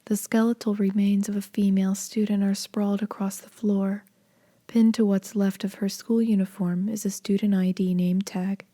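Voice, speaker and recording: A woman narrating a horror video game fan dub in a hushed, breathy, grave and measured way. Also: medium pitch, little pitch movement, close to an everyday voice.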